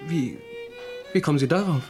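An actor playing a nervous young trainee police inspector in a radio play, hesitant, stammering and easily flustered. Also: male voice, on the high side, moderate pace, music in the background, natural voice. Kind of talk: 1970s German radio play acting.

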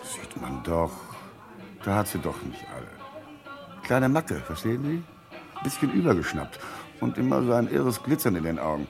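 An evasive, gossipy witness in a radio crime drama. He talks in slang with an offhand air, very deep but swinging in pitch, with faint music behind. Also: sing-song, moderate pace, vocal fry. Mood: scornful, gossipy. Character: evasive, slangy, gossipy man questioned by police.

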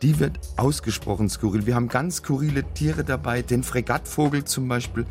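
A man with a cold and a rough, husky voice, explaining things as a biologist guest on a radio show. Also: mid-range voice, a little fast, a little mellow, faint music behind. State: has a cold. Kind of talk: explanatory chat.

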